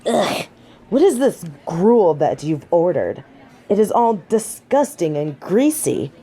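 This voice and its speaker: A haughty female angel, cast from heaven , voiced by a woman, speaks in slow, measured phrases with a bright, crisp edge. Her voice sits a little low for a woman. She is pompous, formal and disdainful, as befits an ancient judge of souls in an audio drama. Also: very animated. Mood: disgusted, indignant. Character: haughty, snobby fallen female angel of judgement, centuries old. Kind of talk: scripted audio roleplay drama.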